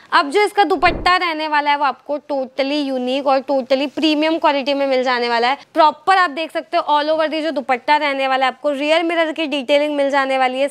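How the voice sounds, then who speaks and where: A young woman from India with a high, bright voice delivers an upbeat, rehearsed sales pitch. She talks fluently and repeats her selling phrases, mixing in English words. It sounds close and clean, like a lapel mic in a shop full of shelves. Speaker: presenter for a wholesale clothing outlet. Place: indoor clothing store with stocked shelves.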